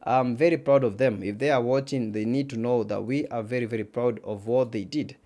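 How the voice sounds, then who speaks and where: A man's voice: medium pitch, steady tone, a little fast, mellow. A man with an East African accent and Swahili as his first language, hosting an esports livestream in casual commentary, repeating phrases for emphasis.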